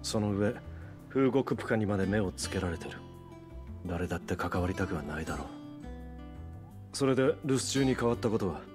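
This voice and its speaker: An actor playing a resolute, brooding outlaw leader in a video game, speaking earnestly and with measured gravity. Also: male voice, fairly low pitch, lively intonation, music in the background, lightly acted. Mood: businesslike. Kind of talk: Japanese video game cutscene acting.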